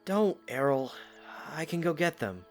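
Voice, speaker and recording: A man's voice: fairly high pitch, very animated, unhurried, faint music behind. A man acting as a wry, modest young swordsman in an audio drama. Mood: wry, fond.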